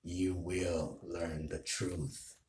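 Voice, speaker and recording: Man playing a slow, menacing low-voiced anime villain. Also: flat delivery, background noise. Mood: quiet menace. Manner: slow, deliberate, ominous taunting. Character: menacing male villain, neither human nor demon, craving power. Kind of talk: anime villain role play.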